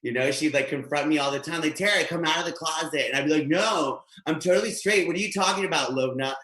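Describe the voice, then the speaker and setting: A man in his thirties from Guatemala, doing a chatty, animated stand-up set over a webcam from his home. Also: on the high side, quick pace. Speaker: stand-up comedian. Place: home room. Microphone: webcam, video call. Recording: echoey home room.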